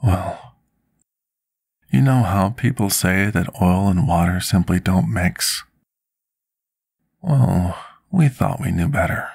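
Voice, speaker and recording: Man playing a deep, gravelly, devoted potion master. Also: lively intonation, a little mellow, no room sound, studio quality, heavily acted. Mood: reflective. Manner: formal, deliberate, confessional storytelling. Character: scarred male potion master, devoted, remorseful, soft-spoken servant. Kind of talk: intimate ASMR roleplay monologue.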